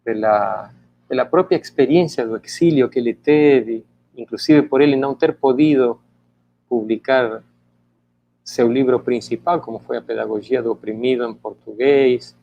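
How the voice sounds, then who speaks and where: A man's voice: medium pitch, normal speed, gravelly, muffled recording. A middle-aged man whose native language is Spanish, talking reflectively in an interview with many hesitant restarts. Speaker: interviewee, author.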